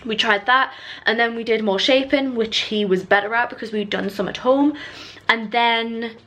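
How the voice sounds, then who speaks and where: A woman's voice: on the high side, normal speed, fairly bright tone. A young British woman chatting casually to her vlog viewers in an informal, rambling way. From United Kingdom. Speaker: vlogger.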